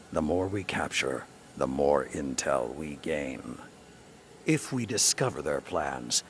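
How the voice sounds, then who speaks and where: An actor playing a stern old war commander in a video game, with a gravelly voice and a grave, authoritative delivery. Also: male voice, extremely low pitch, a little hiss or hum, exaggerated voice. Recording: loud music bed behind voice.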